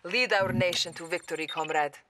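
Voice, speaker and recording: Woman playing low-voiced Russian-accented Soviet briefing officer. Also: brisk, background noise, close to an everyday voice. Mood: urgent, resolute. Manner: formal, businesslike, earnest. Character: earnest, loyal female Soviet military briefing officer. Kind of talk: video game mission briefing.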